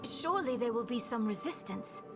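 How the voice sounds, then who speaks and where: A woman's voice: fairly high pitch, animated, normal speed, loud music behind the voice. An actress playing an earnest, doubting young woman, a loyal companion with a hesitant, sincere manner, in a fantasy game. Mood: doubtful. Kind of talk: dark fantasy video game acting.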